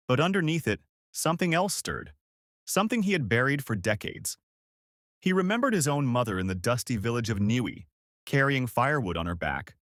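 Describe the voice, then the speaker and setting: A man's voice: medium pitch, a bit bright, no room sound, clean studio sound. A man narrating a scripted dramatic story as a storyteller.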